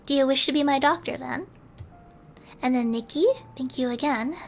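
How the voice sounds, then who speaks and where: A woman streamer reading a bedtime story in a soft ASMR style, at times slipping into a whisper. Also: high voice, fairly even intonation, normal speed, gravelly, soft background music. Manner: soft-spoken, sometimes whispering.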